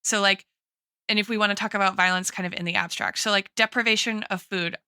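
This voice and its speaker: A woman's voice: medium pitch, fairly even intonation, fast, pushed and bright, smooth, dry recording, studio quality. A woman in a casual, analytical podcast discussion, thinking aloud as she goes and often checking in with "right?". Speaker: podcast co-host and guest expert.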